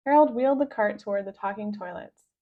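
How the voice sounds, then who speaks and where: A woman reading aloud a children's story with expressive scripted narration, on a slightly dull recording. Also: medium pitch, quick pace. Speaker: storybook reader.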